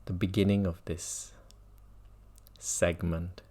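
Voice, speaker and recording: A man guiding a mindfulness meditation as a teacher, speaking in measured phrases with long pauses and repetition. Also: deep voice, little pitch movement, dark tone.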